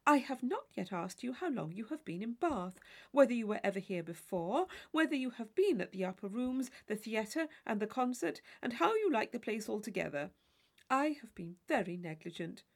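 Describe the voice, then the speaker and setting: Audiobook role: woman as witty young gentleman, playfully affected, mock-formal, lively swooping delivery. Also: on the high side. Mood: polite, teasing. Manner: arch, fluent, mock-formal. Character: witty, teasing gentleman in his mid-twenties, playfully affected. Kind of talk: classic novel audiobook character voice.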